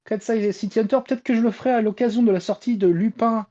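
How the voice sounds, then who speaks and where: Podcast panelist, male, soft-edged mellow tone, emphatic, muffled lo-fi audio. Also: high voice, fairly even intonation, fast talker. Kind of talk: casual chat. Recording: dull, lo-fi voice audio.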